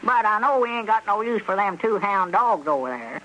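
An actor playing a gullible, excitable old country storekeeper in a radio comedy, with a folksy rural Southern drawl. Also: male voice, high-pitched, a little fast, dull audio, heavily acted. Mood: grumbling. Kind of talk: 1930s-40s radio comedy acting. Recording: noisy vintage radio recording.

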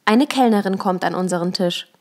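A woman's voice: lowish voice, a little fast, a little mellow. A young adult woman reading her own short story as a first-person literary narrator. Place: improvised desk studio at home.

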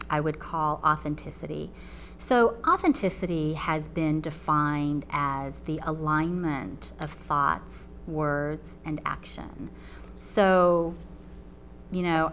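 Low-voiced woman professor with faint hum. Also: a bit bright. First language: Chinese. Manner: measured, explanatory, frequent ums. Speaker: college professor. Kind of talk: recorded talk to a webinar audience. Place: TV studio with a painted backdrop.

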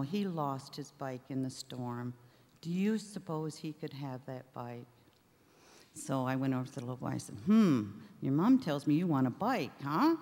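A woman's voice: rumbling low, warm tone. An older woman on a theater stage, telling a heartfelt story to an audience at a stand mic and acting out the voices in it. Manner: storytelling, acts out quoted voices. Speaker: charity director. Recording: reverberant hall with audience.

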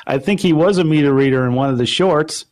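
A man's voice: a little high, fast, a little mellow. A man hosting a live meeting, passing audience questions on to a phone guest in a casual, friendly way. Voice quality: repeats questions aloud for the caller. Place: meeting room.

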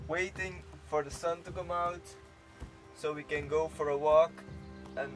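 Higher-voiced young man vlogging. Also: fairly even intonation, unhurried. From Belgium. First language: Dutch. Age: 20s. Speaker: travel vlogger. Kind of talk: casual vlog narration to camera. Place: inside a camper van and outdoors on trips. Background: background music.

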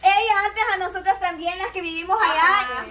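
A woman's voice: very high voice, moderate pace. A woman with a Salvadoran accent, recounting what someone used to say in a casual group chat. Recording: distant voice in loud crowd.

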